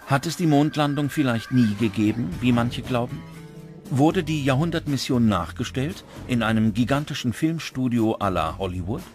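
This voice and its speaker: A man's voice: medium pitch, moderate pace. Male documentary narrator over prominent music. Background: background music.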